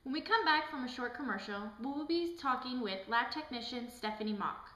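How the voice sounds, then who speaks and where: Женщина играет приветливую ведущую, звонкий высокий голос. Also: female voice, high voice, animated, brisk, bright, forceful tone. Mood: welcoming. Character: cheerful, polite talk show host in puppet skit. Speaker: host. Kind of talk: amateur scripted TV presenting. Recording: echoey room, faint background noise.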